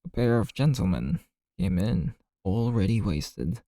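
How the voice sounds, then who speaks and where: Man as a low, slow-talking chatty bartender. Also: animated, warm tone, no echo, clean studio sound. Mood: amused, gossipy. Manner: gossipy, unhurried, colloquial. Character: chatty, amused male bartender, easygoing and friendly. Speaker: bartender in audio roleplay. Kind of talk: ASMR roleplay, casual storytelling.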